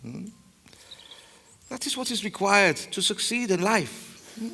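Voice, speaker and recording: Casting: earnest older stage mentor, high creaky rasp, exhorting, long hall reverb. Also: male voice, lively intonation, slow pace, sharp tone, exaggerated voice. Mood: solemn, urging. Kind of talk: theatrical stage acting.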